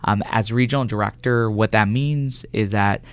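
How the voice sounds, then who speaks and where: A man's voice: fairly low pitch, moderate pace, clear, resonant tone, no echo. A man from California answering conversationally as an interview guest, a government health official, in a radio studio. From California, United States.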